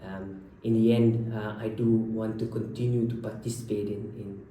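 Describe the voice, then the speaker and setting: Hesitant doctor in an echoey room. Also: male voice, lowish voice, flat delivery, normal speed, warm tone. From Malaysia. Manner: hesitant, many fillers and restarts. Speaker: haematology research fellow. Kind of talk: unscripted introduction to camera. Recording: echoey room, from a distance, faint background noise.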